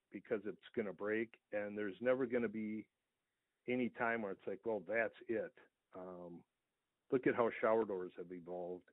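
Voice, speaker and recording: An American man, a small business owner, earnestly explaining things at length in a conversational interview over the telephone. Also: on the low side. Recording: thin, echoey phone line.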